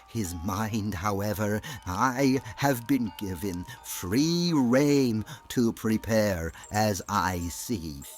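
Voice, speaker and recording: An actor playing a cold, gloating regime villain in an audio drama, speaking with mock-polite, deliberate menace. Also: male voice, fairly low pitch.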